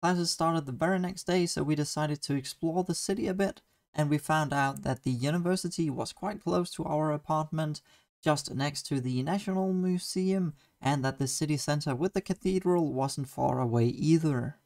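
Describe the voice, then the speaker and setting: A young Danish-accented man tells his travel diary as a voice-over, eager and earnest. His voice sits a little high for a man. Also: moderate pace, a little mellow. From Denmark. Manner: enthusiastic, earnest storytelling. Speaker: student vlogger.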